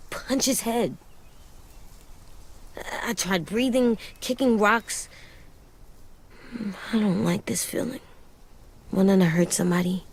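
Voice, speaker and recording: A woman playing an earnest, brooding young boy survivor in a video game drama, speaking haltingly in plain words with a childlike, husky voice. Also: medium pitch. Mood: troubled, confiding. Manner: halting, slow, plain-spoken.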